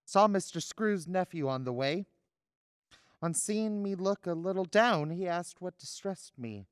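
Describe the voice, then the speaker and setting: A man's voice: high-pitched, animated, unhurried, smooth, no echo, studio quality. A man playing a kindly, tender, grieving father in a radio-style drama. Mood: wistful warmth.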